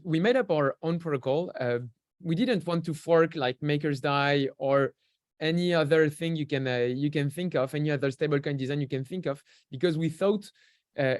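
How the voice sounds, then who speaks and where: A man's voice: a little high, no echo, clean studio sound. A young man from France with a French accent, a podcast guest explaining things in an easygoing way, with many fillers and restarts. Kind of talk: casual interview talk.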